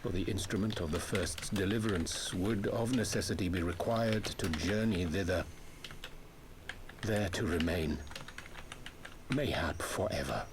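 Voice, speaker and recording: A man playing a solemn, grave scholar in a video game, speaking measured, ornate archaic English. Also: low-pitched, background noise. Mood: grave, regretful. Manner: measured, formal, archaic diction. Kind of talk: scripted video game voice acting.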